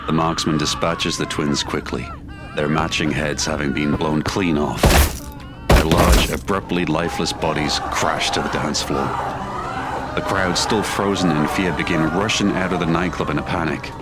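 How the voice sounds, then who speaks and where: A male narrator delivers scripted, dramatic narration for an audio drama. His voice is deep, and music plays clearly behind it. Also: steady tone, moderate pace.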